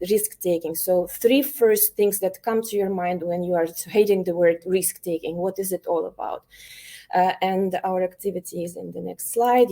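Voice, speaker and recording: A woman from Ukraine, an elementary teacher, presenting an online workshop over a video call, speaking with many pauses, fillers and restarts. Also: mid-range voice, warm tone.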